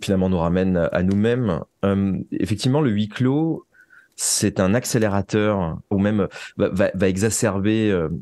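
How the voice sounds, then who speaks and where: A man's voice: on the low side, moderate pace, warm tone, no room sound, clean studio sound. A man speaking as a writer in a reflective interview, searching for his phrasing and repeating words as he goes. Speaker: guest, writer of audio fiction.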